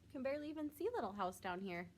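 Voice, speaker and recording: Lady teacher reading a kids book out loud, highish voice, super up and down, leans on words for emphasis. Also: a little hiss or hum. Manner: expressive, with drawn-out emphasis and questions to listeners. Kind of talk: storybook read-aloud for young children.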